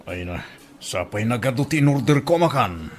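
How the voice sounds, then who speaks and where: A man plays a jolly, insistent buddy in an Ilocano radio comedy drama. His pitch moves all over, and he speaks with an Ilocano Filipino accent. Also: expressive, moderate pace, lightly acted. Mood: coaxing. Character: pushy, jolly male buddy urging others on.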